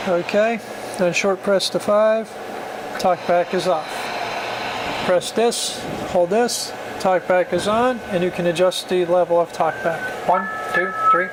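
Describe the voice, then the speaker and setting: A man's voice: high-pitched, fairly even intonation, unhurried. A man giving a casual, plainspoken, hands-on demonstration of equipment in an informal amateur recording. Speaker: product reviewer demonstrating a CB radio. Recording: noisy amateur recording, loud background hum.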